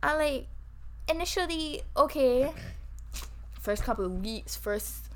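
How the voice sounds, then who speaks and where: Bajan-accented woman co-host, rapid and emphatic, a bit high-pitched. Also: expressive, fairly bright tone, a little hiss or hum. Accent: Barbadian. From Barbados. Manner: fast-talking, emphatic storytelling. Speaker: podcast co-host. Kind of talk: casual chat.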